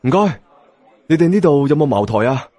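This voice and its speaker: A man voicing a genial but calculating middle-aged Cantonese gang boss, hearty and deliberate, in an audiobook. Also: a little high, animated, a little mellow, dry recording, voice pushed up. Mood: genial, sly. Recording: music bed behind voice.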